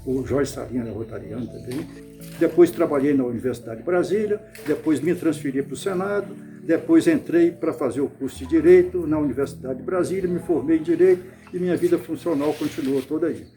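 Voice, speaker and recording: Older man, from Bahia, reminiscing interviewee, slightly high voice, hesitant restarts, faint background music. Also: mellow. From Brazil, Bahia. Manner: unhurried, with frequent restarts and repeated words. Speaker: interviewee, club founder.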